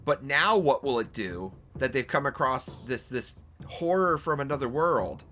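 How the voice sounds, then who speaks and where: Slow-talking man in joking game commentary. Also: medium pitch, animated, sharp tone, soft background music. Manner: incredulous, joking, halting while typing. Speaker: streamer playing an AI storybook game. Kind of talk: casual playthrough commentary.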